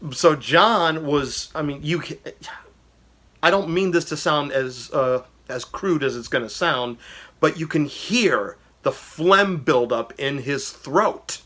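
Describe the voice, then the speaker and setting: A man's voice: a little high, slow pace, fairly bright tone. A man talking casually about a favorite album, enthusiastic and rambling, with lots of fillers and restarts. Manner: briefly sings lyric snippets. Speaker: vlogger reviewing albums. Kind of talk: casual personal music commentary.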